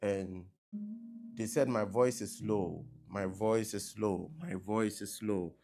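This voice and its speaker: Slow, low-voiced preacher man. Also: steady tone, creaky. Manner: repetitive, checking with online viewers. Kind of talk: live-streamed sermon opening. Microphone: laptop mic on a live stream.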